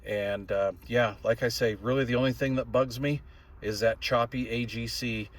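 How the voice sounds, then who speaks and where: A man's voice: medium pitch, fairly even intonation, moderate pace. An older man with a folksy, plain-spoken, rambling way of talking, giving a casual product review as a hobbyist. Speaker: radio hobbyist reviewer and video host.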